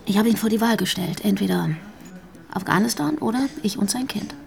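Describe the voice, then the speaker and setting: An actress playing a bitter, grieving young pregnant girlfriend in a naturalistic radio drama, speaking haltingly and emotionally. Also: medium pitch, vocal fry. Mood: guilty, distressed. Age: young adult.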